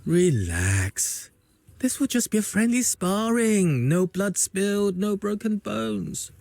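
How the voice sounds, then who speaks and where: A man's voice: high voice, very animated, takes it slowly, vocal fry, a little mellow, dry recording, exaggerated voice. Swaggering, menacing male game villain. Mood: sly, taunting. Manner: taunting, swaggering. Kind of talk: video game voice acting.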